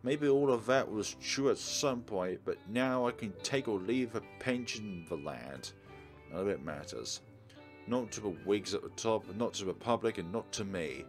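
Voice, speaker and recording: A man with a fairly low voice reads game narration aloud as a let's play host. His delivery moves around in pitch and slips into casual commentary. Game music plays behind him. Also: animated, moderate pace. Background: visual novel soundtrack.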